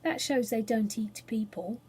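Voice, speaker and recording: Woman playing a firm, high-voiced storybook boy. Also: unhurried, darkish tone, slightly rough. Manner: decisive, urgent. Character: firm, bossy boy, eldest of adventuring children.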